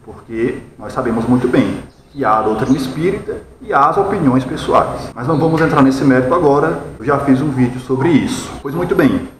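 A man's voice: mid-range voice, animated. Didactic Brazilian man in an echoey room. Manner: didactic, deliberate, uses rhetorical questions. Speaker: video commentator. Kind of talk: explanatory opinion commentary. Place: small bare-walled room indoors. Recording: echoey room with background noise.

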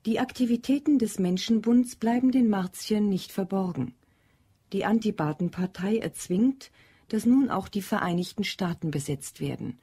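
A woman's voice: mid-range voice, moderate pace, dark tone, slightly rough. A woman narrating a radio play with composed, factual scripted delivery. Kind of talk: scripted narration, plot summary.

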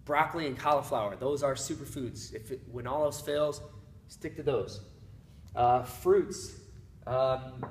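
An upbeat man teaches a casual class, his pitch sitting in a middle range. He talks loosely, with plenty of "uh" and restarts. The sound carries the echo of a bare room and a faint hum behind it. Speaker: nutrition coach. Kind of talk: whiteboard lesson. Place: indoor room in front of a whiteboard. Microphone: camera mic at a distance.

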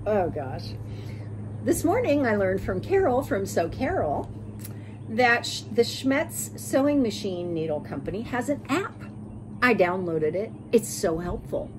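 Animated American woman in her sixties, noisy background. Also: mid-range voice, unhurried. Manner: animated, giggly, expressive. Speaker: sewing vlog host. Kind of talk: casual chat to camera, reading out viewer comments. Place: bright curtained porch or sunroom.

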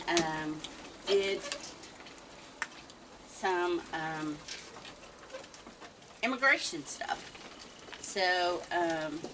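A woman in her fifties chatting casually on a night-time deck, telling a story slowly with many pauses and softly greeting animals between sentences. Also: lowish voice. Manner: slow, halting storytelling with many ums, breaking off to coo softly at animals. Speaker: wildlife feeding livestream host. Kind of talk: casual chat while feeding raccoons. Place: outdoor wooden deck at night. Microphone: fixed camera from a few meters away. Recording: distant voice with clear background noise outdoors.

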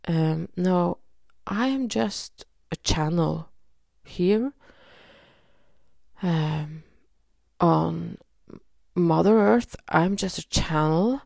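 A woman from Norway with a Norwegian accent, leading a guided energy healing session in a slow, soothing way with many pauses and fillers. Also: low voice, vocal fry, dark tone, dry recording, clean studio sound. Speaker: energy healer.

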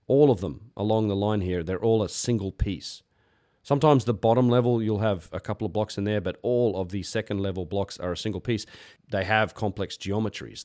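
A man with a fairly low voice hosts a walkthrough. He talks informally and without a script, as if showing friends around a site. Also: darkish tone. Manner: informal, unscripted asides. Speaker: host of an exploration video, tour leader. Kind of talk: casual walkthrough commentary.

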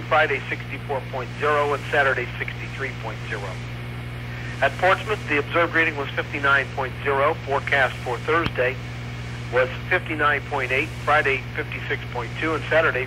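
A male announcer with a slightly high voice reads lists of river forecast figures. It is an old weather radio broadcast with clear noise and hum. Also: moderate pace. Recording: noisy, humming 1990s weather radio broadcast.

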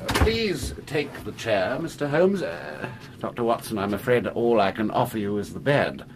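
An actor playing an obsequious, impoverished Shakespearean actor in a British radio drama, courtly and theatrical. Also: male voice, lowish voice, big pitch swings, moderate pace, background noise. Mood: courtly, ingratiating.

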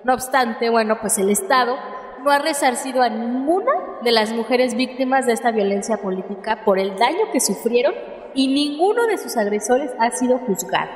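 A woman's voice: a little high, expressive, moderate pace, close to an everyday voice. A woman playing an earnest female political activist and community leader in a radio drama, speaking in a speech-making way. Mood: earnest, explanatory. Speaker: actor in a radio serial. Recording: echoey hall.

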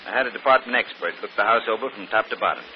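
An actor playing a gruff middle-aged police inspector, brusque and plain-spoken, in an old radio mystery. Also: male voice, fairly low pitch, rapid-fire, close to an everyday voice. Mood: brisk, commanding. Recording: muffled, noisy old radio broadcast.

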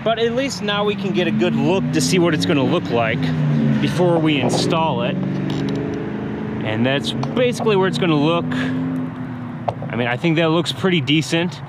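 Man narrating DIY work, noisy outdoor recording. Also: a little high. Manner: informal, thinking aloud while working. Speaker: DIY how-to video host. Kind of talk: casual hands-on tutorial narration. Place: outdoors beside a vehicle in daylight. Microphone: action camera held or mounted near him. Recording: clear outdoor background noise on action camera.

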